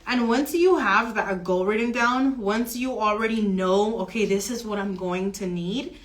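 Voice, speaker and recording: A woman mortgage broker explaining home financing options in an earnest, steady advisory chat. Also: mid-range voice, measured pace.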